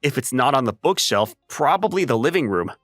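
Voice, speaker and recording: A man playing an ordinary, level-headed high school boy who narrates a manga dub story in a wry, casual way. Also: sing-song, fairly quick, fairly bright tone, soft background music. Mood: dry, modest. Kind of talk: scripted first-person story narration and dub acting.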